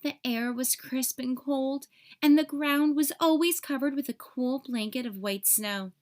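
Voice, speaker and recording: A woman reading a children's story aloud in an expressive storytelling style. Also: high voice, measured pace. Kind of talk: expressive scripted story narration.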